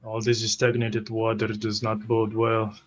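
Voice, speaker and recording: Slow, flat-toned man playing roleplay. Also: lowish voice, a little hiss or hum. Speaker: roleplay game player. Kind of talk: improvised first-person roleplay.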